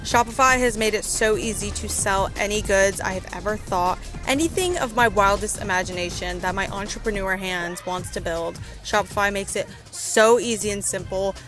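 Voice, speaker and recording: A woman's voice: mid-range voice, moderate pace, few pauses. A woman podcast host delivering a sponsor message in a casual, conversational style. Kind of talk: casual ad read. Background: background music.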